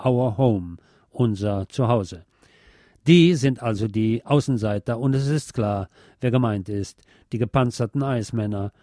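A man presents a scripted commentary in a soft, mellow voice. The sound is very dry and close, with the relaxed tone carrying the reading. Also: medium pitch, a little slow, no room sound. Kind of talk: scripted political and music commentary.

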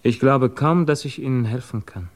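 A man playing a guarded, evasive émigré physicist under suspicion in a 1950s German radio drama, speaking formally and hesitantly, quietly troubled. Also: mid-range voice, normal speed, no room sound, lightly acted. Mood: evasive, defensive.

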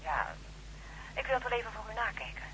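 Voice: mid-range voice, big pitch swings, a little fast, bright, forceful tone, slight background noise, low-quality recording. An actor playing a polite, flustered female night nurse on the telephone in a radio drama, hesitant and apologetic. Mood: flustered, helpful.

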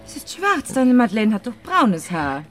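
An actress playing a jealous, mocking, hot-tempered mistress in a radio play, with a taunting, dramatic delivery. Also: female voice, a little high, sing-song, moderate pace, soft background music.

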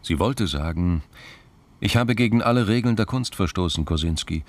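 A man narrating a scripted crime audio drama in a measured, literary storytelling manner. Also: sits low, lively intonation, dry recording. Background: music.

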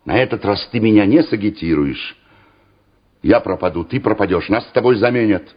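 Stubborn regiment commander: husky, animated, categorical and exasperated, wartime radio drama, dulled old recording. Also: male voice, lowish voice, brisk, highs cut off. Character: stubborn, gruff male Soviet regiment commander. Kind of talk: Soviet radio drama acting.

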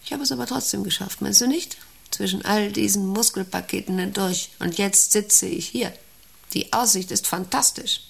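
Bossy self-important lady boss in a radio play, middle aged, creaky voice, rambles on and pries. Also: medium pitch, moderate pace. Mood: self-satisfied, rambling. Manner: rambling, domineering, self-absorbed. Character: self-important, intrusive female director of a paperclip company. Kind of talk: radio play monologue and dialogue.

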